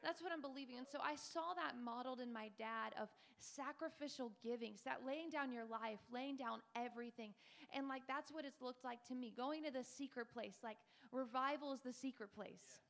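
Fervent young woman preacher, high creaky voice. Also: fairly quick, a bit bright. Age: 20s. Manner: fervent, breathy preaching, mimics tongues. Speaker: panel speaker. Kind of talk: preaching testimony on a panel.

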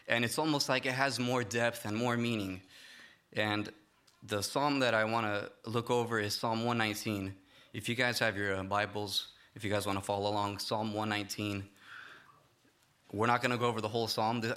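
A young man giving a church sermon, speaking in a halting way with many fillers and restarts. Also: medium pitch, sharp tone, clear, resonant tone. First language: Romanian not first language. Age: young adult. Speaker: lay preacher.

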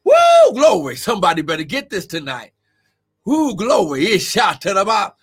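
A male preacher delivering a fervent sermon, shouting often and calling out to members of his congregation. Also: high voice, very animated, moderate pace, husky. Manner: shouting, exclamatory, calls out congregation members by name. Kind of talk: Pentecostal-style sermon.

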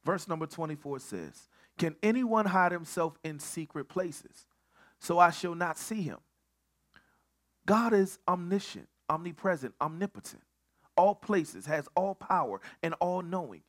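A man's voice: fairly high pitch, expressive, a little slow. A male pastor preaching at a midweek Bible study, building on repeated phrases and often stumbling over restarts.

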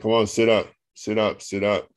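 A man with a deep voice, a pastor leading an online Bible study and reading scripture aloud slowly on a video call. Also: little pitch movement, no echo, studio quality. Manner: slow, deliberate reading with a coaxing, teasing tone.

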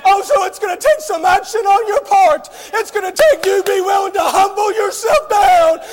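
A man's voice: very high-pitched, moderate pace, a crowd in the background, noisy background. A man preaching an impassioned revival sermon, crying out with frequent "oh" interjections.

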